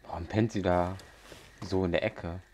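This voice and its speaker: A man hosting a casual Let's Play. His voice is low, and he comments offhand on the game with faint noise behind him. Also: steady tone, normal speed, darkish tone.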